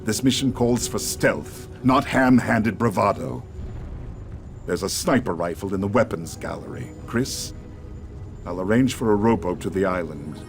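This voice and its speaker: An actor playing a composed, scheming resistance adviser in a video game, speaking in formal, measured phrases. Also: male voice, fairly low pitch, expressive, faint music behind. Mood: composed approval. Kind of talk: video game cutscene acting.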